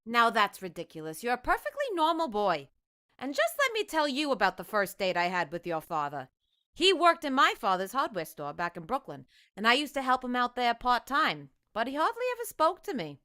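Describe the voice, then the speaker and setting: A woman playing a calm, reassuring mother and wife in a vintage-style radio play. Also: on the high side, a little fast, exaggerated voice. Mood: soothing. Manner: coaxing, reassuring. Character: soothing, sensible middle-aged mother and wife.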